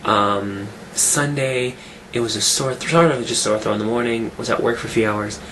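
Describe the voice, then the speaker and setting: A man talking casually in a vlog, rambling and restarting his sentences, his voice rough from a cold. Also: mid-range voice, steady tone, normal speed, a bit bright, slight background noise, poor audio. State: getting over a cold, rough voice. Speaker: fitness vlogger. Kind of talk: casual weekly update vlog.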